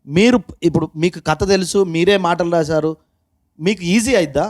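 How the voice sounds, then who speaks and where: A man's voice: sits high, normal speed, darkish tone. A man asking questions casually as the interviewer.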